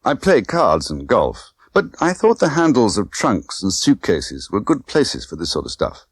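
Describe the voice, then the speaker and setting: A man's voice: fairly low pitch, wildly swooping pitch, measured pace, slightly rough, no room sound, voice pushed up, full character voice. A man voicing a cool, easygoing British secret agent, unruffled, laconic and lightly teasing.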